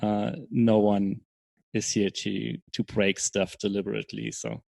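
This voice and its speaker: Low, creaky-voiced man being interviewed. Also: little pitch movement, unhurried, darkish tone, no room sound. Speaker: podcast guest, software startup co-founder. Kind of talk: casual interview chat.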